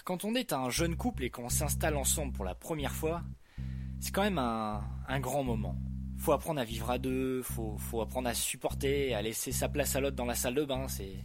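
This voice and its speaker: A man's voice: medium pitch, normal speed, lightly acted. A young man playing a wry, put-upon boyfriend who complains conversationally in a comedy audio drama. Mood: wry, resigned. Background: plucked guitar music.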